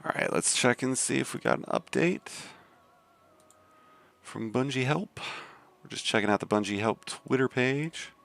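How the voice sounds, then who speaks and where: A man from the Southern US with a deep voice and a Southern accent, chatting casually on a game live stream. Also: moderate pace, creaky, a bit bright. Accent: Southern American. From Southern United States. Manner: laid-back, rambling with many fillers.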